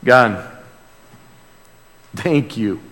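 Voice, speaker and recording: A man preaching and praying to a congregation, earnest in manner, swelling from hushed to emphatic. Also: fairly low pitch, animated, very slow. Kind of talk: sermon and prayer to a congregation. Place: large church room or hall. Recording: reverberant hall sound.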